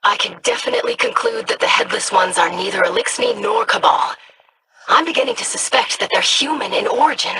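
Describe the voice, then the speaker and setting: A performer voicing an earnest, studious scribe in a video game, speaking in a measured, scholarly manner. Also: mid-range voice, wildly swooping pitch, gravelly, a crowd in the background. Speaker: scribe investigating monsters.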